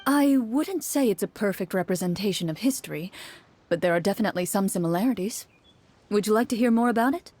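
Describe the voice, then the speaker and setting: A woman's voice: medium pitch, lively intonation, fast, darkish tone, lightly acted. Game master role woman acting: mid-range, composed, articulate, explanatory video game narration. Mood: composed, informative.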